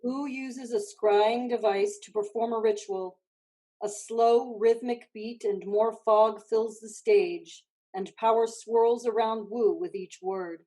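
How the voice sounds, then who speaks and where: Female stage directions reader, mellow tone, slightly high pitch, deliberate scripted narration. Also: fairly even intonation, poor audio.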